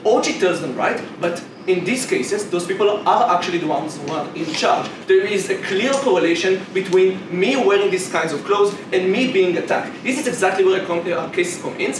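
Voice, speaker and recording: Halting young Israeli man debating, far off. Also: high voice, animated. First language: Hebrew. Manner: argumentative, halting with many restarts. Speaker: competitive debater. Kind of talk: debate speech. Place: debate room. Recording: roomy echo, far from mic, noisy.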